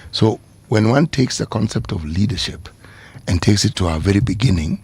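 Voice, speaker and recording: A man co-hosting a radio talk show, articulate and deliberate, in a lecturing way. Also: on the low side, lively intonation, darkish tone, husky. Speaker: radio co-host.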